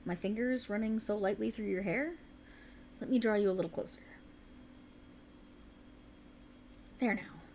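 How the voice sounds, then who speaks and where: Woman doing an otherworldly fae lady, grand but gentle, talks slow and close, little bit of hiss behind. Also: medium pitch, lightly acted. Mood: tender. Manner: slow, grand and intimate, addressing the listener closely. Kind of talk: roleplay audio drama.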